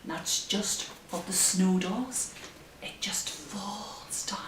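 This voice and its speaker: Middle-aged woman, children's storyteller, expressive, very unhurried delivery, simple phrases, echoey room, slightly distant microphone. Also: mid-range voice, a little hiss or hum. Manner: animated, simple phrases addressed to small children. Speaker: children's story time presenter. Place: living room, indoors. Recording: roomy, distant camera microphone.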